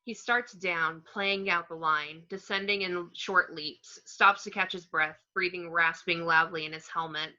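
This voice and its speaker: A woman reading screenplay action descriptions as narration, in a plain, measured way. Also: fairly low pitch, fairly even intonation, bright, forceful tone. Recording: slightly dull home microphone.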